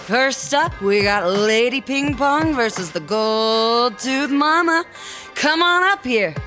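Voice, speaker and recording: A woman acts as a commanding battle emcee in a comedy audio drama. She hypes the contest in a higher-pitched voice, taking her time, with music clearly behind her. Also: expressive, slow, pushed and bright, exaggerated voice. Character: commanding female rap battle emcee working a crowd. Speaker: announcer.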